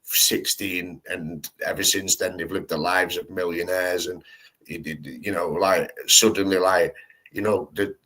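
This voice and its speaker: A man hosts a live stream in loose, slangy talk. He rambles and restarts his sentences often, in a low voice. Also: steady tone, unhurried, bright, forceful tone, low-quality recording. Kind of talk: casual live-stream chat.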